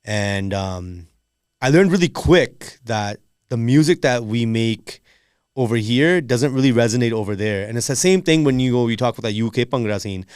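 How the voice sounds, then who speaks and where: A man chatting casually as a podcast guest, telling stories in an animated, informal way with many "like"s and "you know"s. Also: medium pitch, normal speed, smooth, dry recording, studio quality. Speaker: podcast guest, music producer. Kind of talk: casual conversational storytelling.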